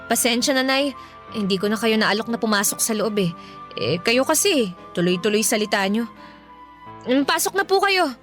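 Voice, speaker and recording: High, animated young Filipino mother. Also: normal speed, pauses often, faint music behind. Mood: reluctantly polite. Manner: plaintive, polite but protesting. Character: put-upon young mother, weary yet defensive. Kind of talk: Filipino radio drama acting.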